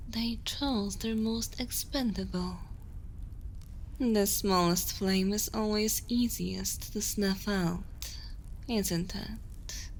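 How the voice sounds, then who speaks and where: Slow, hushed evil queen, acted by a woman. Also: medium pitch, slight background noise. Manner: slow, deliberate, hushed delivery slipping into whispers; commanding, condescending, teasing. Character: seductive evil queen, imperious, predatory, coolly amused. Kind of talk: ASMR roleplay, intimate dramatic monologue.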